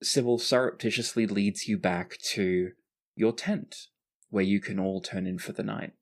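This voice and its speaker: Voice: low-pitched, normal speed. A game master narrating a tabletop roleplaying game in a casual way, with some swearing.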